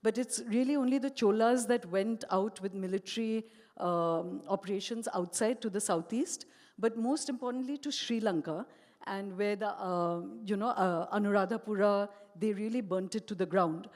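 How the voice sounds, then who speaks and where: A woman's voice: mid-range voice, moderate pace, darkish tone. A woman with an Indian English accent, moderating a stage conversation and asking thoughtful, long winding questions. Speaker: interviewer, moderator. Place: stage at a live event, large hall. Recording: hall reverb.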